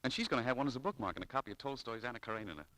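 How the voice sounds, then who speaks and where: An actor playing an earnest, shy young shop clerk who is easily exasperated, in 1940s radio style. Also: male voice, mid-range voice, expressive, very fast, sharp tone, slight background noise. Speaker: radio actor in a romantic comedy. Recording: vintage 1940s radio broadcast.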